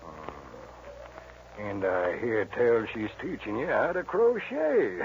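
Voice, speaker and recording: An actor playing a rough, mocking American saloon gunslinger in a 1940s radio western, drawling his taunts. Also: mid-range voice, very animated, very slow, exaggerated voice. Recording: noisy, dull old radio recording.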